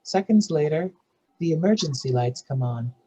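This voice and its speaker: A woman's voice: sits low, animated, moderate pace, dark tone, a little hiss or hum, poor audio. A woman reading stage directions as scripted narration for a drama table read.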